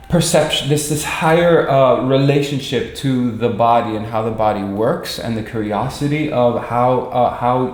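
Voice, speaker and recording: A man in his forties with an American accent, chatting reflectively as an interview guest, halting and repeating words as he searches for them. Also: mid-range voice, darkish tone. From Miami, United States. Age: 40s. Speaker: interview guest, performer and director. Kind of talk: casual reflective chat. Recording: echoey room.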